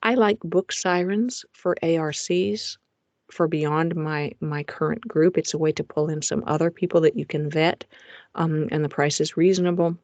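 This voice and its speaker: A woman's voice: sits low, fairly even intonation, moderate pace, darkish tone, no echo. A middle-aged woman, a romance author, presenting her publishing plans over slides in a chatty way, with frequent fillers and restarts, recorded in a home recording booth.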